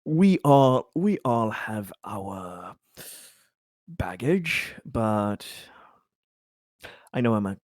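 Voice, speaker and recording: A man acts out a male company boss in a sci-fi tabletop game, on the low side and closely recorded with little room sound. He stammers and backtracks, earnest, placating and faintly shifty. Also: very animated, darkish tone, no echo, studio quality, full character voice. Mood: apologetic, defensive. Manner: halting, stammering, apologetic. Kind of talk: improvised tabletop roleplay dialogue.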